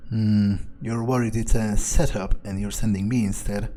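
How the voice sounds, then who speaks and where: A man's voice: lowish voice, steady tone, dark tone, natural voice. A man voicing a jaded, wary male vampire in a game playthrough, wryly muttering his thoughts in character. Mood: wary, irritated. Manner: unhurried, wry, muttering to himself. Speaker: gamer role-playing in character. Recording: faint game music behind.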